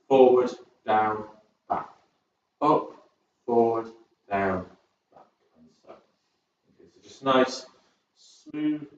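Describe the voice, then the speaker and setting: A man's voice: mid-range voice, slight background noise. A man from Southport with a northern English accent, leading a chair-based exercise class in a chatty, upbeat way and counting down each set. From England, born in Birmingham, raised in Southport. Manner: chatty, upbeat, rambles between cues, counts down reps. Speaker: fitness instructor. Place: large echoey room at a community sports centre. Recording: very echoey, distant and dull.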